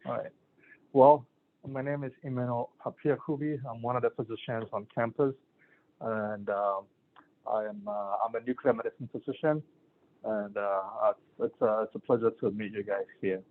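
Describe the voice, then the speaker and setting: A man's voice: lowish voice, steady tone, moderate pace. A middle-aged man from Ghana, a physician giving a casual talk to students, rambling with many fillers and restarts. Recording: tinny phone-like sound.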